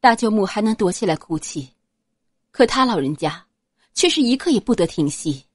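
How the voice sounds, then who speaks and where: Woman playing a composed, gentle young noble girl. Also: medium pitch, sing-song, dry recording, studio quality. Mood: gentle persuasion. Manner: soft-spoken, measured, persuasive. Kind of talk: audiobook character acting.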